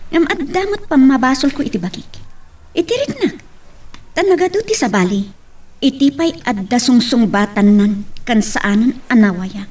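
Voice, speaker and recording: Radio drama lead: actress as devoted young woman in love, high husky voice, tender and troubled, a little off-mic. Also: expressive, normal speed, a little mellow, recorded from a distance. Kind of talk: Ilocano radio drama acting.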